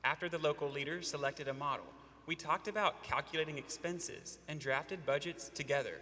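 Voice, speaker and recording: A man from Arkansas delivers his part of a team presentation with confident emphasis. His voice is bright and sits a little high for a man. A long hall reverb surrounds him. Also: steady tone, brisk. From Arkansas, USA. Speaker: student presenter. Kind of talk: rehearsed competition presentation.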